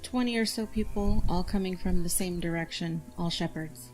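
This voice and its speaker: A woman playing a blind, sharp-tongued fighter in an audio drama, blunt and sarcastic. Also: lowish voice, normal speed, faint music behind, lightly acted, poor audio. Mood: alert. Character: blind female fighter, sharp-tongued, irritable, self-assured.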